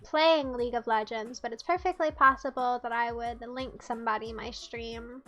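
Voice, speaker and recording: A woman's voice: a little high, fairly even intonation, normal speed, fairly bright tone. A young woman from the United States streaming live, chatting casually and teasing her chat in a playful way.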